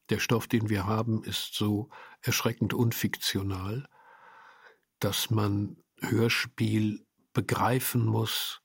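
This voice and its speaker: A male radio drama director talking reflectively in an interview, with emphatic, halting phrasing. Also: lowish voice, breathy edge, no room sound, studio quality.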